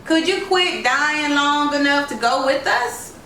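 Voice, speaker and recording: Woman playing a bright, teasing gentleman motorist. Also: high-pitched, expressive, unhurried, pitched above the performer's own voice, full character voice. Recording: roomy, distant, noisy home recording.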